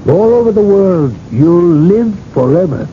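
An actor in a radio drama playing an earnest friend who consoles gently and with emphasis. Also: male voice, high-pitched, animated, unhurried. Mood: earnest assurance. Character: earnest, consoling male friend of dying tycoon. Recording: dull, slightly noisy old radio broadcast.

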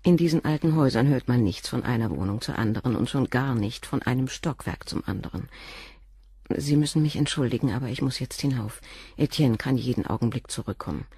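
Husky, guarded doctor's wife. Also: female voice, extremely low pitch, expressive, a little fast, warm tone, no room sound, studio quality, exaggerated voice. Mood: composed, guarded. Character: doctor's wife, composed and guarded under police questioning. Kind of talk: radio crime drama dialogue.

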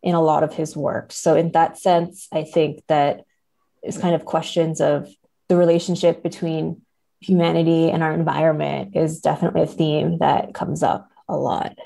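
Female academic podcast guest, noticeable vocal fry, mellow tone, conversational and analytical. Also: low-pitched, level tone, normal speed. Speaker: podcast guest, literature scholar.

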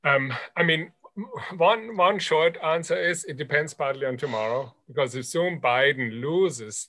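A man's voice: mid-range voice, fairly bright tone. A man in his sixties with a German accent, explaining emphatically as an academic panelist on a video call. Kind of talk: unscripted panel discussion over video call. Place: book-lined home office, indoors. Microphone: computer mic on a video call.

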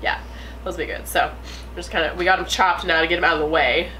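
A woman's voice: sits low, fairly even intonation, fast talker, sharp tone, a little hiss or hum, low-quality recording. A young woman cheerfully explaining her plans for the food while cooking in her home kitchen. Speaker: homestead vlog co-host. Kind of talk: casual chat while cooking.

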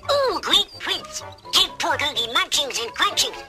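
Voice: high-pitched, very animated, measured pace, over loud music. A performer voicing a small, furry, fawning creature with whimpering, servile phrasing in a storybook cartoon. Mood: fawning, begging.

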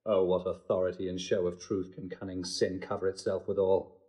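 An actor playing a proud, bitterly wronged young bridegroom, declaiming in classical Shakespearean drama style. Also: male voice, low voice, level tone, a little fast, dark tone, natural voice. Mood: anguished fury.

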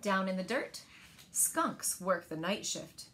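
A woman in her thirties reads picture books aloud to young children with expressive, deliberate phrasing, recorded indoors in a living room. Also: medium pitch, slight background noise. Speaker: storyteller at a library story time.

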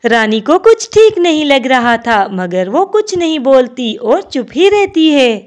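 A woman tells a children's story as a scripted narration. Her voice sits on the high side and moves up and down with lots of animation. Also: very animated, unhurried, a little mellow.

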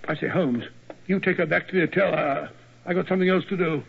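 A bumbling British doctor in an old radio play. His high, creaky voice hesitates and repeats itself good-naturedly, through a muffled, noisy recording. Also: quick pace. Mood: flustered. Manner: hesitant, stammering, repeats himself. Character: bumbling, good-natured English gentleman doctor, easily flustered. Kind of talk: 1940s radio acting.

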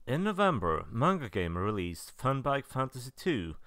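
A man's voice: medium pitch, very animated, normal speed, fairly bright tone, no room sound. A man narrating semi-scripted commentary as a video reviewer, with a dry, understated sarcastic manner. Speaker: video narrator reviewing visual novel releases.

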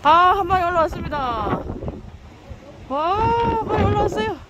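Female outdoor fishing vlogger, high-pitched, exclamatory casual commentary, noisy seaside recording. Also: animated, normal speed. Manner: exclamatory, often admiring the catch. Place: outdoors on a windy seaside breakwater.

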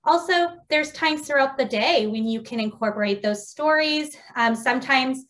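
A woman's voice: high voice, normal speed, a bit bright. A woman from the United States, a preschool teacher, explaining things informally in an online training workshop. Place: indoors on a video call. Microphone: video call.

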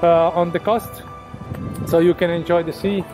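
A man's voice: high voice, flat delivery, normal speed. A man, filming himself on a camera stick while hiking a rocky seaside coast, talking with many hesitant fillers. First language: Italian. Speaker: vlogger. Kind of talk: casual travel vlog. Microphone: action camera on a selfie stick. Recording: background music.

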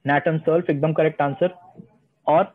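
A young man teaching homeopathy, reading English passages slowly and then explaining them, often answering his own questions. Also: on the high side, fairly even intonation. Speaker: homeopathy lecturer. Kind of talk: online lecture mixing Hindi and English. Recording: tinny phone-line sound.

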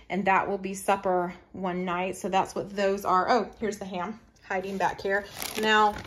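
A middle-aged woman chatting casually through a grocery haul, explaining her family's meal plans in a homey way. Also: mid-range voice. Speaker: family lifestyle vlogger.

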